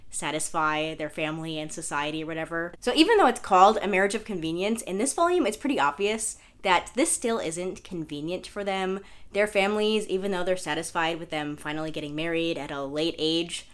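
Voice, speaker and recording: A young woman, a bit hoarse from losing her voice, chatting casually at home to camera about what she has been reading, full of fillers and self-deprecating asides. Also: on the low side, fairly bright tone. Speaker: manga vlogger. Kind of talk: casual review chat to camera. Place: indoors at home, sitting on the floor.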